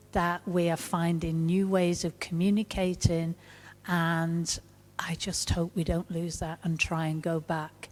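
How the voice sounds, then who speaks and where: A frank woman with a lowish voice speaks on a church panel and drops in touches of dry humour. The big building gives her words a long, ringing tail. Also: a little mellow, in a large hall. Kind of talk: panel discussion answer. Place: large parish church.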